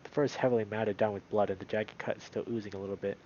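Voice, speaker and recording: A man streaming a visual novel, moving between read-aloud narration and casual, animated commentary. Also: on the low side, very fast, muffled recording, slight background noise.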